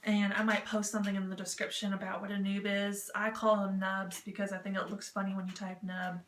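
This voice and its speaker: A woman's voice: mid-range voice, little pitch movement, fairly quick. A woman talking casually as a vlog host, explaining things in a rambling, informal, self-deprecating and jokey way. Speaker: vlog host giving tips on written role-playing. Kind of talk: casual, chatty explainer to camera. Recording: roomy echo with clear background noise.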